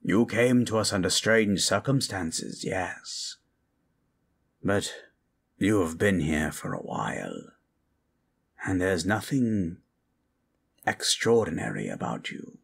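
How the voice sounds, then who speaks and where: A man voicing a grave dark sorcerer turned to good, solemn, measured and commanding, in a fantasy ASMR roleplay. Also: deep voice, big pitch swings, full character voice. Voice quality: husky.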